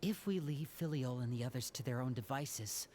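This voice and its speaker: Casting: man as a composed young magician and older brother, measured delivery, faint background hiss. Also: mid-range voice, natural voice. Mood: calm. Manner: calm, measured, reassuring leader. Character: young male magician, calm older brother of an orphan family. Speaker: game character. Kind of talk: video game voice acting.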